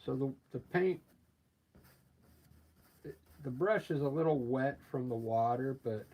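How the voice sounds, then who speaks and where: A man with a scratchy voice from allergies, painting live and explaining his steps in a relaxed, thinking-aloud way. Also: medium pitch, slow. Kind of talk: casual live painting demonstration.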